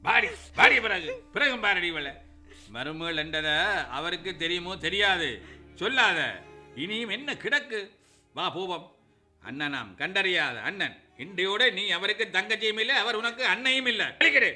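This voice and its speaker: A man playing a scornful, bright-voiced brother-in-law. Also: high voice, expressive, normal speed, soft background music, heavily acted. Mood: angry. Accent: Sri Lankan Tamil. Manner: scornful, forceful, threatening. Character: bitter middle-aged man breaking off ties with his brother-in-law. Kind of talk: radio drama acting.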